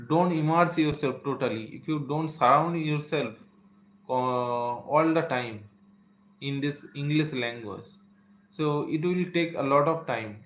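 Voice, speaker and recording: A man's voice: medium pitch, a bit bright, a little hiss or hum. A man with an Indian accent whose first language is Santali, giving an earnest live-stream talk to English learners and often repeating phrases and saying "okay". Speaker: English teacher. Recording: echoey room.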